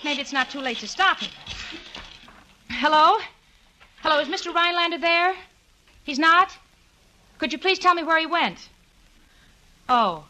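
A woman's voice: sits high, sharp tone. An actress playing a sensible, wry, often exasperated young working woman in a 1940s radio comedy, on a crackly vintage recording. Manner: wry, sardonic.

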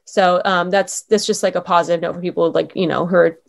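A woman's voice: lowish voice, fairly even intonation, fairly quick, gravelly. A young adult woman, a former sports anchor, talking casually as an interview guest in an upbeat, chatty way with lots of "um" and "like".

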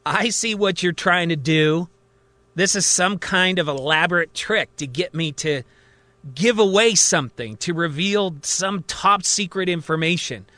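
Guy doing an agitated patient who swears he's the president, radio drama, raised pitch, sharp and demanding. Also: unhurried, no echo. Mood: defensive, angry. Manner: indignant, insistent, demanding. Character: agitated, defensive male psychiatric patient claiming to be president.

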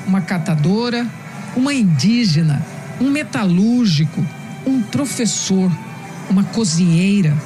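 Female news narrator with soft music. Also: mid-range voice. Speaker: news reporter. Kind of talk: news report voice-over.

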